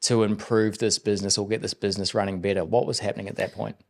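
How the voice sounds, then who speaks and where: A man's voice: on the low side, flat delivery, fast, vocal fry. A man hosting a business podcast, upbeat and encouraging, with plenty of ums as he asks questions. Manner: upbeat, encouraging, filler-heavy. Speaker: podcast host and business coach. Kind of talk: podcast interviewing.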